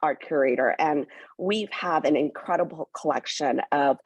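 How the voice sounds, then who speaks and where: Woman, from New York, eager, many fillers, husky, dry close sound. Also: deep voice, normal speed, no echo. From born in Hong Kong, raised in New York. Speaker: hotel representative. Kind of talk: promotional interview.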